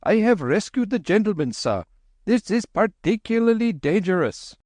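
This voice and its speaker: A man voicing an excitable, anxious middle-aged clerk, fretful and pleading. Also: normal speed, no room sound, clean studio sound. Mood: frantic. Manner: fretful, pleading, high-strung.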